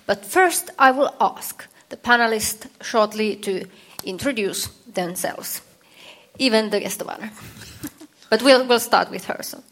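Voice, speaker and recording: A woman from Finland, moderating a convention panel and pausing often to search for words. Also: a little high, a bit bright. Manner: hesitant, many fillers and restarts.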